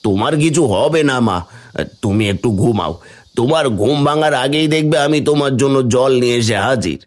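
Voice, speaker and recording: A man voicing a devoted young village son, earnest and pleading, in an animated fairy tale. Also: medium pitch, moderate pace, close to an everyday voice. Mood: reassuring.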